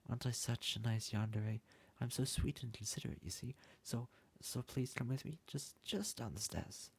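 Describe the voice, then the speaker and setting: A man's voice: mid-range voice, moderate pace, creaky, husky. A man playing a bumbling, lovesick young yandere student in an ASMR roleplay, pleading hesitantly and stammering as he speaks to the listener.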